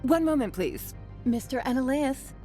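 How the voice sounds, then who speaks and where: A woman playing a crisp, courteous corporate assistant who speaks in a formal, businesslike way. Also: high voice, expressive, moderate pace, warm tone, faint music behind. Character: polite, crisp, efficient female corporate administrative assistant. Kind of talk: game cutscene dialogue.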